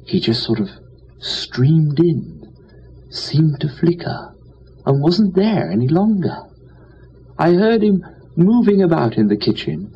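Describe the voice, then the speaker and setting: A man playing a foppish, cheerful, dim young English gentleman, telling a comic story in chatty old-fashioned upper-class slang. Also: mid-range voice, husky, exaggerated voice. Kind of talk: dramatised first-person comic storytelling. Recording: dull lo-fi with faint noise.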